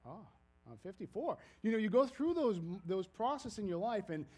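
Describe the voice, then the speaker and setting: Folksy older-sounding preacher from Maine. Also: male voice, high voice, very animated, moderate pace, darkish tone. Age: 50s. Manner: rambling, folksy, self-deprecating, frequent restarts. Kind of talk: casual sermon storytelling.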